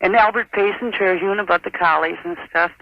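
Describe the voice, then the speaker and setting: A woman's voice: medium pitch, fairly quick, vocal fry, no room sound. An American woman calling a radio show, chatting and rambling as she tells her story over the phone. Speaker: caller. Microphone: telephone. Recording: dull lo-fi telephone call on radio.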